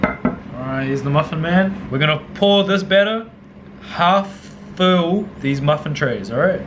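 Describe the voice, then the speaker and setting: A young New Zealand man talks through a home cooking demonstration in a loose, slangy way, tossing in casual swearing and muttering some lines under his breath. His voice sits slightly higher than most men's. He is recorded in his kitchen, with a faint background hum. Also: expressive, a little slow. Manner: laid-back, slangy, swears casually, drops to low mutters. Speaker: cooking vlogger.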